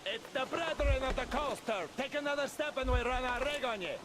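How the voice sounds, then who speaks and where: A man playing a brash, hot-headed young forest pirate in a video game, shouting blustering taunts. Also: very high voice, brisk, noisy background. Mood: blustering threat.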